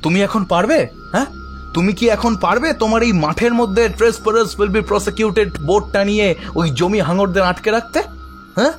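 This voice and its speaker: A man's voice: high voice, soft background music, close to an everyday voice. A man playing a tipsy young man, mocking and theatrical, in an audio horror drama. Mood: mocking, defiant. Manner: theatrical, mocking, drawn-out shouts.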